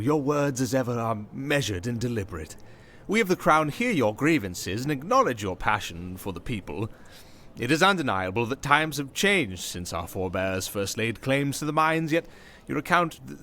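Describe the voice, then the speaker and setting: A man plays a pompous prime minister in a fantasy drama, his mid-range pitch moving in lively swoops. He is formal and deliberate, a condescending, evasive politician. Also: slight background noise. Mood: politely condescending. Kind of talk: fantasy audio drama acting.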